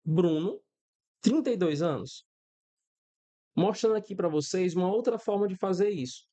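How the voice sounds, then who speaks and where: A man's voice: sits high, animated, moderate pace, dark tone. A Brazilian man in his thirties teaching a coding class step by step, checking in with his students often and using everyday examples. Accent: Brazilian Portuguese. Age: 30s. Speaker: programming instructor. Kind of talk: screen-recorded coding lesson.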